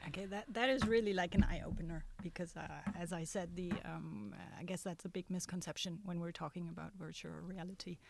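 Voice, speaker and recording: A woman's voice: lowish voice, steady tone, a little fast, creaky, hardly any pauses, heavy background noise. A woman hosting an interview podcast in a friendly, upbeat way, with frequent fillers as she asks questions. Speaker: podcast host.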